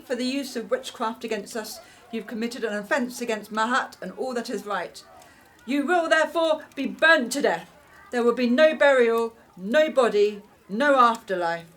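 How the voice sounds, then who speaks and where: A woman's voice: a little high, a bit bright. A woman playing a ruthless, scheming Egyptian queen in an audio drama, with a stately, formal, commanding delivery. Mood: stern, condemning. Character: imperious, ruthless scheming Egyptian queen, first royal wife.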